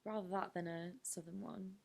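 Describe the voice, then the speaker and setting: A woman's voice: lowish voice, steady tone, normal speed, vocal fry. A young woman, a DJ chatting casually and informally as an interview guest. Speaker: DJ being interviewed. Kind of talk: casual chat.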